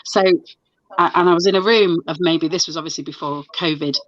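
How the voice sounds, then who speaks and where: A woman's voice: deep voice, steady tone, brisk, dry recording. Chatty woman, dull lo-fi sound. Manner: chatty, stop-start storytelling with many restarts. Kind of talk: casual video chat.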